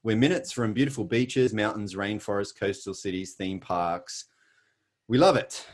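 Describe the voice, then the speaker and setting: A middle-aged man with an Australian accent, speaking in a lowish voice. He tells his story earnestly and emphatically, lightening it with self-deprecating jokes, over a video call. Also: moderate pace. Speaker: presenter. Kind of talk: scripted motivational presentation.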